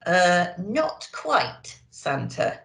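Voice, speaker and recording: A woman's voice: lowish voice, big pitch swings, very slow, vocal fry, a bit bright, heavily acted. A woman voicing a little old male elf, an anxious, bustling helper in a children's storybook. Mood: worried. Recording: roomy echo.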